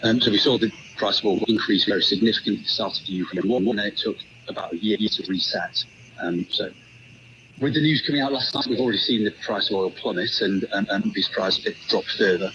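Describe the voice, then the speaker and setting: A low-voiced man answers questions as a business guest on radio news, hesitant and often repeating words. The audio is muffled shortwave with clear static. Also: brisk, bright, forceful tone. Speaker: haulage operations manager, interview guest.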